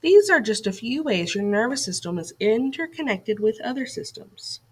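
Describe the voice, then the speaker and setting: A woman's voice: fairly high pitch, very animated, moderate pace, darkish tone, husky. A woman reading a scripted educational lesson aloud to children as a teacher, putting questions and directions to young listeners.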